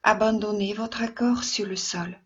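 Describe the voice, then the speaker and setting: A woman yoga instructor leading a guided relaxation meditation in a soft, soothing, gentle way, with long pauses between phrases. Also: mid-range voice, fairly even intonation, breathy edge, lo-fi sound.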